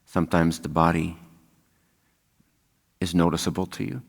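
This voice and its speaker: A man leading a guided meditation as a spiritual teacher, speaking calmly and unhurriedly with long pauses. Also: sits low, vocal fry. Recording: long hall-like reverb.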